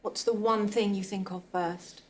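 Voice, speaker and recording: A woman's voice: medium pitch, steady tone, unhurried. A woman asking questions as a reporter at a press conference. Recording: faint background noise, room ambience.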